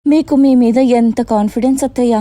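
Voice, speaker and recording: A woman's voice: on the high side, fast talker, dark tone, no echo, clean studio sound, natural voice. A woman voicing a crafty young daughter-in-law in a cartoon moral story, coaxing and chatty. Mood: persuading.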